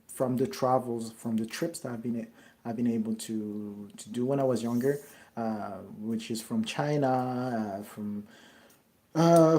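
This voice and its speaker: A young man from Paris speaks English with a French accent, answering follower questions in a loose, casual monologue. His voice is mellow and dark at a middle pitch, and he hesitates often, restarting phrases and filling pauses with "uh" and "um.". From France. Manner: hesitant, many fillers and restarts. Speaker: network marketing creator answering questions.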